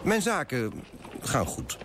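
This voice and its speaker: An actor playing a pompous, self-righteous coffee broker who moralises emphatically in a radio drama monologue. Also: male voice, medium pitch, huge pitch swings, slow pace, background noise, full character voice. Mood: self-satisfied, pompous.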